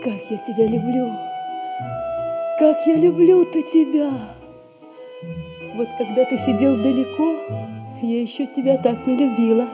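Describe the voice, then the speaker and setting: A wilful, coaxing young merchant's daughter; high voice, music behind. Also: female voice, a little slow, pauses often, lo-fi sound. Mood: tender. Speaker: stage actress. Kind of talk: 1960s theatre radio play.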